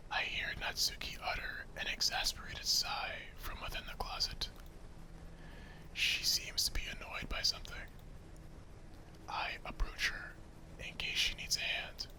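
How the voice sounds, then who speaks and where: Man whispering slow ASMR narration. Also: sharp tone, poor audio. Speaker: narrator and visual novel reader.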